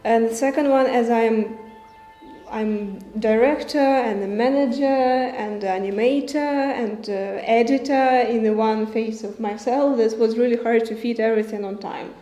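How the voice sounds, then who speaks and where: A young woman, a film director answering questions in a halting way, searching for words with many fillers. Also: a little high, steady tone, mellow. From Ukraine. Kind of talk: festival Q&A answers. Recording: roomy with faint music behind.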